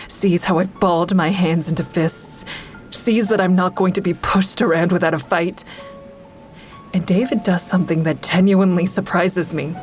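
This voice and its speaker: A woman's voice: lowish voice, natural voice. An actress playing a bitter, defiant woman who narrates a confrontation with her former partner in an audio drama. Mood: tense, steely. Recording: music behind the voice.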